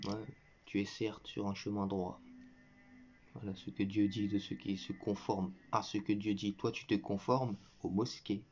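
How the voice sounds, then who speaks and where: A man's voice: lowish voice, darkish tone. A man giving a combative religious commentary to his viewers, lecturing and scornful, addressing the listener directly and breaking into mocking laughter. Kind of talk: combative reaction monologue to viewers. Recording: muffled lo-fi recording.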